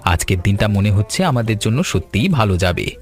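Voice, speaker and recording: A man plays an eager young village vendor in a cartoon tale. He talks in an earnest, chatty, polite way with a fairly low pitch. Light music sits behind him. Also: very animated, brisk. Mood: eager, friendly. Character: eager young fish-fry seller, friendly village man. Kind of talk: animated story dialogue.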